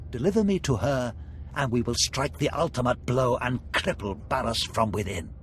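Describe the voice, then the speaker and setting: A man's voice: medium pitch, lively intonation, normal speed, slight background noise, lightly acted. An actor playing a cunning, aristocratic Sith lord in a video game, courtly and theatrical, relishing his words. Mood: scheming, urgent. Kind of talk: video game character acting.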